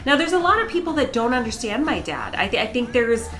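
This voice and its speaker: Bright-voiced enthusiastic American woman vlogger. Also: a little high, big pitch swings, fast, faint music behind. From United States. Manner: earnest and enthusiastic, warm storytelling. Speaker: vlogger and news anchor. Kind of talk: personal vlog talk to camera.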